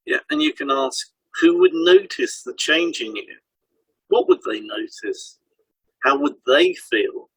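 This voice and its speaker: A man's voice: sits high, wildly swooping pitch, unhurried, vocal fry, slightly rough, no room sound, low-quality recording. A man co-hosting an educational podcast, reading scripted explanations in an instructive way. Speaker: podcast co-host and hypnotherapy trainer. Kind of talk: scripted educational podcast dialogue, read aloud.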